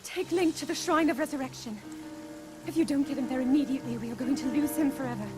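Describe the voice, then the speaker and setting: A young woman acting an earnest, desperate yet resolute princess in a video game cutscene, with an emotive, halting delivery. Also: high-pitched, music in the background, natural voice. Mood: commanding, resolute.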